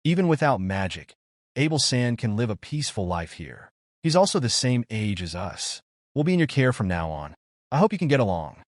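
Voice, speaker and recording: Guy doing sweet caring anime maid girl, earnest, bouncy pitch, dry mic. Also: very animated, brisk, dry recording, natural voice. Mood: scolding. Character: cheerful, caring young demon maid woman.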